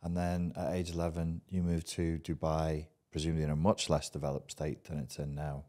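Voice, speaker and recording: A man's voice: very deep voice, completely flat delivery, fairly quick, warm tone. A man hosting a podcast, asking questions in a casual conversational interview. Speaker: podcast host.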